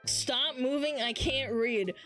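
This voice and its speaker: Nasal woman streamer, slightly high. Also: takes it slowly, a bit bright, soft background music. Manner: playful, teasing banter with frequent laughs. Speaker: livestreamer. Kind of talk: casual chat reading viewer comments.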